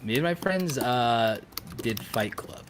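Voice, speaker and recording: A man chatting casually as a podcast co-host, telling stories in an animated, geeky, emphatic and joking way. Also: mid-range voice, slow pace, heavy background noise. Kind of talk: casual chat.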